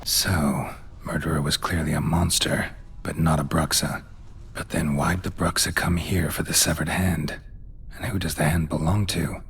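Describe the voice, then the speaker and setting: Very deep, stoic monster hunter. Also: male voice, moderate pace, exaggerated voice. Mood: brooding. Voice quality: gravelly, hushed. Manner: terse, deadpan. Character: stoic, world-weary male monster hunter. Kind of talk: video game voice acting.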